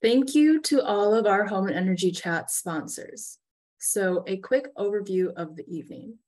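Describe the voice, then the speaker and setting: A woman's voice: medium pitch, normal speed. A woman co-hosting a webinar over a video call, steadily reading sponsor thanks and panelist introductions. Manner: steady scripted read. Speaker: webinar co-host.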